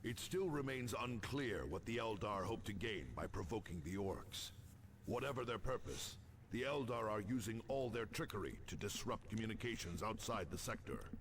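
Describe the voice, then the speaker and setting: An actor playing an authoritative, grave space marine captain who speaks formally in a video game transmission. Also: male voice, on the low side, animated, normal speed, close to an everyday voice. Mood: grave, commanding. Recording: game audio with faint noise.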